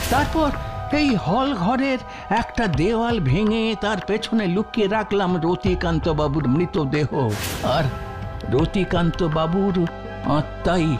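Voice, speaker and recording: A man voicing a timid, repentant caretaker in an animated cartoon, with an anxious, pleading manner. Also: high-pitched, measured pace, over music. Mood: confessing.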